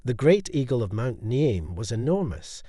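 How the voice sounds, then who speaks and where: A man narrating a scripted bedtime folktale in a dramatic, expressive storytelling manner. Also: mid-range voice, moderate pace, husky, no room sound, studio quality.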